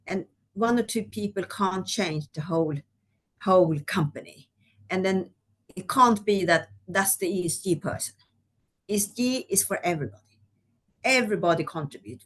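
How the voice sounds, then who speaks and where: A woman from Sweden, speaking as a guest executive in a webinar discussion, talking conversationally with many "you know"s. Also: mid-range voice. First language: Swedish.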